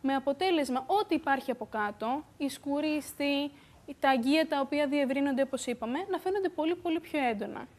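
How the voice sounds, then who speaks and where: A young woman, a beauty expert, explaining calmly in a TV studio on a lapel mic, with the odd "uh" filler. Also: sits high. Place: bright TV studio.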